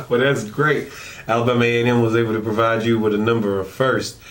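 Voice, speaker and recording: A man hosting an interview, asking questions in a halting way with many ums and uhs. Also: mid-range voice, flat delivery. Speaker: interviewer, show host.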